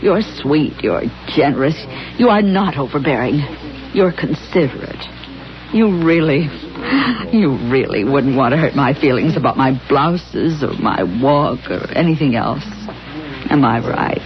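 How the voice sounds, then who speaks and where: An actress playing a proud, outspoken but warm-hearted bank employee, emphatic and familiar, in a 1970s radio drama. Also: female voice, low-pitched, lively intonation, a little slow, muffled recording, music in the background. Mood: tender.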